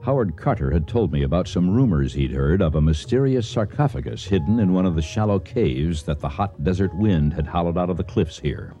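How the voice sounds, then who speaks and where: A man playing a middle-aged archaeologist and expedition leader in a radio drama, speaking in a measured, civil, slightly hesitant way. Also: low-pitched, expressive, dark tone, faint music behind. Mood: reflective narration. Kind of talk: radio drama acting and narration.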